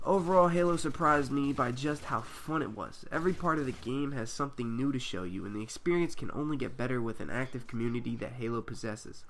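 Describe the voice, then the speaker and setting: A man's voice: medium pitch, brisk, few pauses, fairly bright tone, slight background noise, low-quality recording. A man with a stuffy head cold, reading a prepared video game review aloud to his viewers with the odd um. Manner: reads a prepared review with filler ums. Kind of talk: scripted review read to camera.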